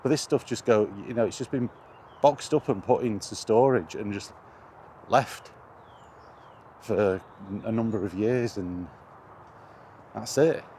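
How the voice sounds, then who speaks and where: A man with a lowish voice talks casually, as a gaming vlogger would, in a rambling and hesitant flow with a wry edge of exasperation. He is recorded outdoors, with some background noise around him. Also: unhurried, long pauses, warm tone. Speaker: video game vlogger.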